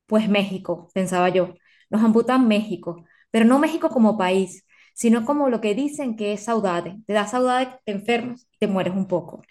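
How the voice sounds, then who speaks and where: A woman's voice: mid-range voice, moderate pace, darkish tone. A young adult woman interviewing an author, speaking in a heartfelt, emphatic way. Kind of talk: interview question.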